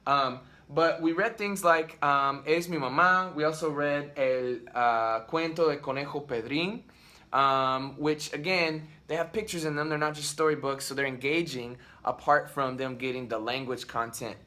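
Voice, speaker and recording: Bright-voiced rambling dad vlogger. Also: male voice, a little high, normal speed. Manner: rambling, lots of ums and restarts. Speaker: vlog host, father. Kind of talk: casual talk to camera. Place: at home.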